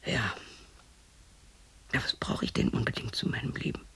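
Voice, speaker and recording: An actress playing a lonely, bitter widow of sixty in a radio play, talking to herself in a husky, creaky voice that often drops to a whisper, scolding and questioning herself. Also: female voice, moderate pace, a bit bright, close to an everyday voice. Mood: brooding, self-scolding. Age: 60s. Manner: talks to herself, scolding and questioning, abrupt shifts.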